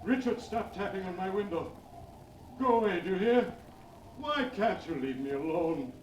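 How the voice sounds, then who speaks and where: A man's voice: high voice, expressive, moderate pace. A man playing a terrified, haggard uncle who pleads wildly with a ghost in an old radio horror drama. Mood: panicked, pleading. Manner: frantic, wild, pleading cries. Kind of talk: 1940s radio horror acting. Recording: noisy, echoing old radio recording.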